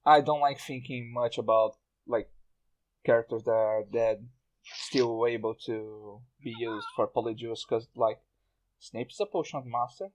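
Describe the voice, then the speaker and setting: A man's voice: medium pitch, fairly even intonation, measured pace, highs cut off. A man hosting a casual group podcast discussion. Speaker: podcast host.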